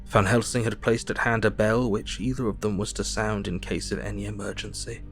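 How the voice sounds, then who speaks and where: A man playing a sombre, compassionate Victorian gentleman, narrating a diary in measured tones for an audio drama. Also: on the low side, little pitch movement, faint music behind, natural voice.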